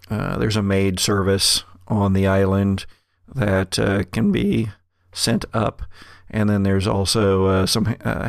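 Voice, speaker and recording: A man's voice: lowish voice, creaky, no echo, studio quality. A man hosting as game master, improvising tabletop game narration and talking conversationally to his players. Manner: conversational, addresses players directly. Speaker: game master and podcast host.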